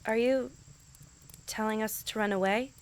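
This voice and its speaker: A young woman plays a modern teenage girl in an audio drama. Her voice is fairly high with a creaky fry. She sounds skeptical and down-to-earth, asking things in a casual, hesitant way. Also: moderate pace, slight background noise, natural voice. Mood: skeptical, uneasy. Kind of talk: fantasy audio drama acting.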